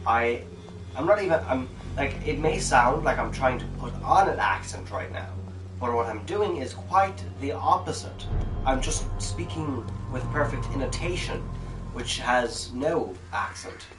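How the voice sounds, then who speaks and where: A man's voice: mid-range voice, animated, measured pace, far from the mic, noisy background. A man from Ireland chatting casually while streaming a game, rambling and joking as he plays. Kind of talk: casual gameplay commentary. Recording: roomy, off-mic, game music behind.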